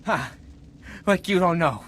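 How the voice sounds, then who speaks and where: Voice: mid-range voice, animated, a little slow, fairly bright tone. An actor playing a bitter, wounded colonist in a video game, strained, accusing and in pain. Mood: angry, accusing. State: in pain, gasping. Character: wounded, bitter, defiant colonist of a conquered world. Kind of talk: dramatic video game acting.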